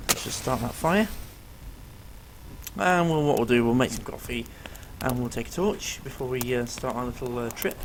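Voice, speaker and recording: A man with a tired, rough-edged voice that is clearly not at full strength, talking a viewer through a survival game at an easy, unhurried pace. His voice sits at an ordinary middle pitch. There is a steady, clearly audible noise in the background of this home recording. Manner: easygoing, explains as he plays, many ums and uhs. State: strained, failing voice. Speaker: gameplay commentator. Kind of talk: casual let's-play commentary.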